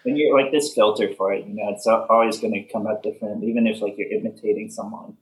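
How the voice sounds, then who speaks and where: A young man from Canada, a band member answering interview questions in soft, halting casual talk full of fillers. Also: on the low side, steady tone. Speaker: band leader, interview guest. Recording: echoey room.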